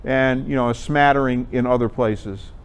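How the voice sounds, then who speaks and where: Clear-voiced man giving an informal presentation. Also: mid-range voice, fairly even intonation, normal speed. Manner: conversational, explanatory. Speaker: presenter at an amateur radio club talk. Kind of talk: informal slide presentation to a group.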